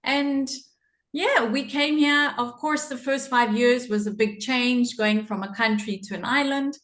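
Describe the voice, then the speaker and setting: A woman's voice: sits high, a little slow, fairly bright tone, echoey room. A middle-aged woman with a South African accent, a podcast guest telling her personal story in an earnest way, laughing often. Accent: South African English.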